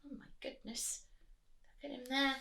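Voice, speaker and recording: A woman reading a storybook aloud to children, expressively, pausing to chat and put questions to her young listeners. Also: high voice, flat delivery, gravelly, pushed and bright.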